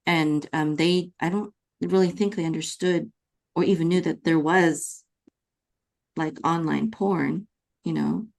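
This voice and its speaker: A woman's voice: sits low, steady tone, measured pace, vocal fry, darkish tone. A woman with a Californian American accent hosting a conversational podcast interview, explaining her opinions. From California, United States, born in the Philippines. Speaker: podcast host.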